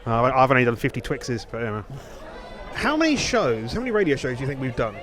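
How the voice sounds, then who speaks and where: A man's voice: medium pitch, huge pitch swings, fast, a bit bright, a faint crowd. A man hosting a podcast in casual, reminiscing chat, rambling and often correcting himself as he goes. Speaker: podcast host.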